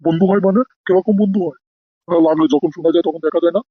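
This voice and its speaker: Performer as a fast-talking, gruff cartoon grandfather. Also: mid-range voice, fairly even intonation, no echo, narrow, tinny audio, natural voice. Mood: impatient. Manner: blustering, crude. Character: gruff, impatient old grandfather, demanding answers. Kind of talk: comedy cartoon voice acting.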